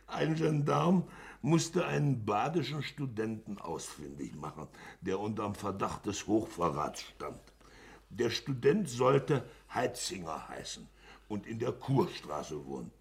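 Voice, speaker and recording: A male actor in a German radio play, playing a jovial, sentimental man who spins anecdotes in an animated, drawn-out way. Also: fairly low pitch, vocal fry, full character voice. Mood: amused storytelling.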